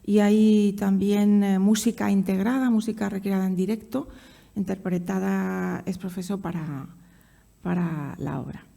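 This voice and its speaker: A woman giving a semi-improvised conference presentation, haltingly, searching for her words. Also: mid-range voice, fairly even intonation, gravelly, mellow. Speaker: academic presenter at a film conference.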